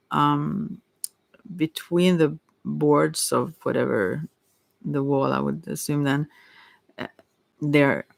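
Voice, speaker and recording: Casting: woman for improvised tarot ASMR; gravelly fry, very dry recording, meandering unscripted delivery. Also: low voice, no echo. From Sweden. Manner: hesitant, rambling, often trailing off. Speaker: tarot reader, ASMR creator. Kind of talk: ASMR tarot reading, casual improvised chat.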